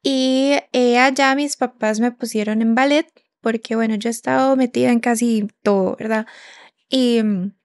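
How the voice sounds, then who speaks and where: A young woman who grew up in Canada talks in a loose, chatty way, filling her pauses with soft ums and ready laughs. Her voice sits a bit higher than most women's. The sound is close and dry, with no room echo. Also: steady tone. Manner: chatty, with many fillers and quick laughs. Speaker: podcast co-host. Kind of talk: casual podcast chat.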